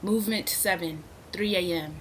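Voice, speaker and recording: A woman's voice: fairly low pitch, moderate pace. A woman reading a play's stage directions aloud as scripted narration at a staged reading. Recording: clearly noisy stage recording.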